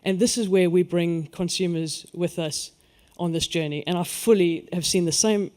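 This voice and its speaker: A man's voice: high voice, fairly even intonation, normal speed, darkish tone. A man with a South African accent speaking on a conference panel, stressing key words and then letting his voice fall away. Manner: emphatic, lifts his voice on key points then trails off. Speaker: energy industry panelist.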